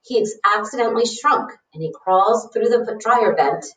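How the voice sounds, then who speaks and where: A woman's voice: on the high side, normal speed, fairly bright tone, lightly acted. A woman reading a storybook letter as a self-assured, chatty seventh-grade boy who talks frankly and boasts a little. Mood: boastful, chatty. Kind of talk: storybook read-aloud of a letter. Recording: roomy, echoey, distant mic.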